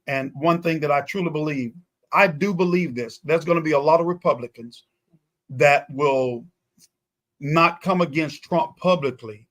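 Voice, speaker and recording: A man's voice: a little high, fairly bright tone. A man in a conversational political talk show discussion, speaking emphatically and repeating phrases for weight.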